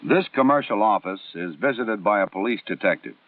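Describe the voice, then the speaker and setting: An American man delivering formal, scripted training film narration in a measured, instructional way with precise enunciation, on a 1960s film soundtrack. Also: fairly low pitch, lively intonation, no echo, far from the mic. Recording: muffled narrow-band 1960s film soundtrack.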